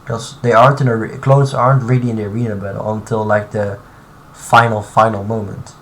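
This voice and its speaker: A man's voice: on the low side, level tone. Man thinking aloud over typing noise. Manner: thinking aloud, halting, many fillers and restarts. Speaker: streamer. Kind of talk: casual live-stream commentary while modding. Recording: clear background noise and typing.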